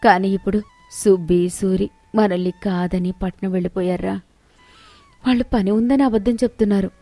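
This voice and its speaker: A woman's voice: medium pitch, dark tone, dry recording, lightly acted. Old village mother played by a woman, plaintive, lamenting, tender, storybook drama, dry sound. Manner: lamenting, plaintive address to her son. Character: sorrowful old widowed village mother, tender and self-pitying.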